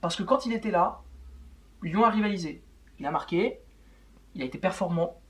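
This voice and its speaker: A man's voice: high voice, normal speed. A young man, chatting informally as the host of a football show. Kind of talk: casual unedited football chat.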